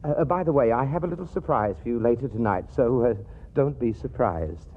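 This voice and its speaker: An actor playing a timid, dithering, besotted rich eccentric in an old radio comedy, stammering and fussily formal. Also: male voice, mid-range voice, animated, a little fast, slightly rough. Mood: bashful, happy. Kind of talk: 1940s radio comedy acting. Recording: tinny old radio broadcast, music behind.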